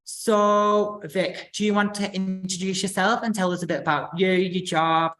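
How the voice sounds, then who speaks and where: A woman's voice: medium pitch, fairly even intonation, moderate pace, fairly bright tone, faint music behind. A woman, hosting a casual live interview in an informal, hesitant, rambling way. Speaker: host of a running channel.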